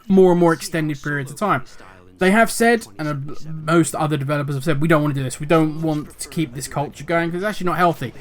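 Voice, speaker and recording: Older male gaming host, slightly raised pitch, rambling and grumpy in tone. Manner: talkative, rambling, self-correcting; cynical, grumbling. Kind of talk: casual commentary video.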